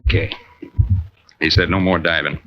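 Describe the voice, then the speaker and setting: An actor playing a proud, middle-aged Navy deep-sea diver and father in an old-time radio drama, gruff, wry and clipped in manner. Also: fairly even intonation, normal speed, close to an everyday voice. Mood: wry banter. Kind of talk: old-time radio drama acting with first-person narration. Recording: dull, band-limited old radio recording.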